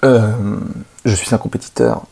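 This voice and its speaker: A man playing a boastful, excuse-making video game speedrunner in an ASMR roleplay, speaking softly and half-whispered with smug, hesitant phrasing. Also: on the low side, fairly even intonation, a little slow, full character voice. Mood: wounded pride. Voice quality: soft, breathy, half-whispered, creaky. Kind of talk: ASMR roleplay, soft gaming commentary.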